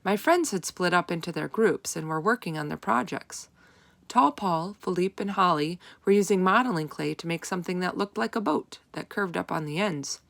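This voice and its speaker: A woman reading a children's storybook aloud as narrator, with expressive, deliberate emphasis. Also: fairly low pitch, creaky.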